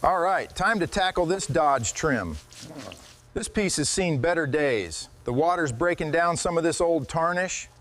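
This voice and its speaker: A man with a slightly high voice talks through a restoration job as he goes. He speaks in short, casual work phrases, with some faint noise behind him. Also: lively intonation, unhurried, a bit bright. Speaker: restoration video narrator.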